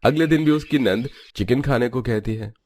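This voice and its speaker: A man's voice: medium pitch, normal speed, a little mellow. A man narrating a Hindi family cartoon story in a dramatic storytelling style.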